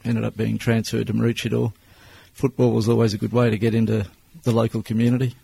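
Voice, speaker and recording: A man in his sixties with an Australian accent, answering interview questions as a business owner in a plain-spoken, measured way. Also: medium pitch, completely flat delivery, vocal fry, mellow, a little hiss or hum. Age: 60s.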